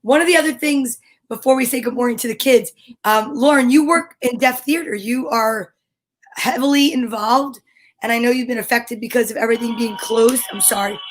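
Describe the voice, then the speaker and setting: A woman's voice: on the high side, moderate pace. A woman hosting a children's show, chatting casually with an informal, curious manner. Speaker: host of a children's story time show. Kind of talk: casual interview chat.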